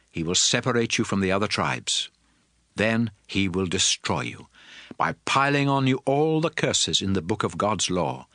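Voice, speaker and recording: A man's voice: mid-range voice, a bit bright, no echo, studio quality, lightly acted. An actor playing a solemn Hebrew prophet addressing his nation in earnest oratory for a dramatized Bible. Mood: stern warning. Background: music.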